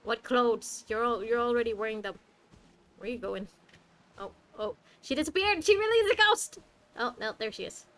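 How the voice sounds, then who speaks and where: A woman's voice: fairly high pitch, animated, moderate pace, a bit bright. A woman giving casual live gameplay commentary, chatty and playful as she reacts aloud to the game. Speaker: game streamer. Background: game music.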